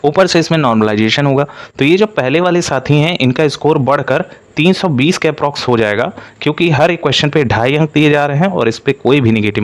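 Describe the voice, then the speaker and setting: Man giving an explanatory update. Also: a little high. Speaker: exam-coaching presenter. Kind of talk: informational update addressed to exam candidates.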